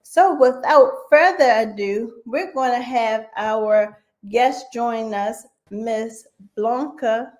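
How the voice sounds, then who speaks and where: A woman hosting a live online radio interview, talking slowly with many fillers like "um". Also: on the high side. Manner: slow, warm-hearted, with frequent fillers. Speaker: radio show host.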